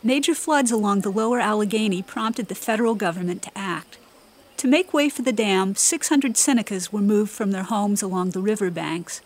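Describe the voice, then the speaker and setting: Woman radio reporter, mid pitch, even scripted read. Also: moderate pace. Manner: even, clear reading. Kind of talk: scripted news narration.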